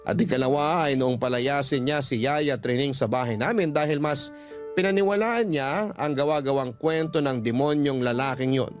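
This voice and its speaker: A man with a Filipino accent reading a confessional letter as scripted radio drama narration. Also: medium pitch. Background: faint music.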